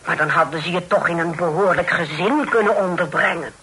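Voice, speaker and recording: Husky, preachy, pious old aunt. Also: female voice, lowish voice, a little fast, bright, forceful tone, full character voice. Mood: stern, probing. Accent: Netherlands Dutch. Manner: sanctimonious, declaiming in biblical phrases. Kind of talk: Dutch radio drama acting.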